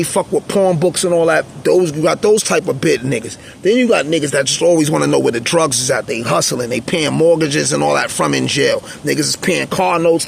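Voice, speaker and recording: Raspy gravelly dude, kinda high voice, blunt street talk, just rambling to the camera. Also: male voice, noisy background. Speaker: channel host, former prisoner. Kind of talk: casual unscripted monologue.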